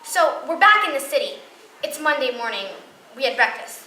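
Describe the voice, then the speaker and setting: A woman's voice: high voice, big pitch swings, moderate pace, sharp tone, full character voice. A woman playing a hurt but devoted girlfriend confiding earnestly in a friend, in a stage monologue. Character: hurt woman earnestly excusing her genius filmmaker boyfriend. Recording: echoing room, distant mic, clear noise.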